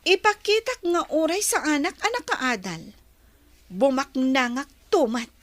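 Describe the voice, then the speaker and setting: A woman's voice: animated, a little slow, a bit bright, no echo. A woman playing a proud, resentful, ambitious young daughter in a radio drama, speaking emphatically. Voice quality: high-pitched voice.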